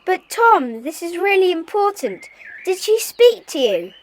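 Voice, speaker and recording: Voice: very high-pitched, very animated, measured pace, slight background noise, heavily acted. A performer playing an eager, inquisitive British child detective in an audio drama, speaking earnestly. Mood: curious.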